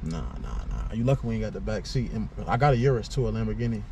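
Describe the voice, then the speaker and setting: Fast-talking young man in a car. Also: fairly low pitch, animated. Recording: outdoor noise, mic at a distance.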